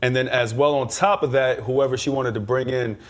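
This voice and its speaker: A man's voice: mid-range voice, fairly even intonation, a little fast. A man on a game show panel talking casually, explaining things in detail with slangy, opinionated commentary.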